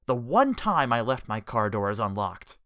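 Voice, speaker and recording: A man's voice: mid-range voice, very animated, measured pace, bright, forceful tone, dry recording, clean studio sound. A man doing a cool, wry office worker.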